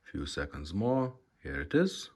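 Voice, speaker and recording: Male demo presenter, lively intonation but slow, low-key and halting delivery, casual tone. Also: breathy edge. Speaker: presenter demonstrating a retrofitted car radio.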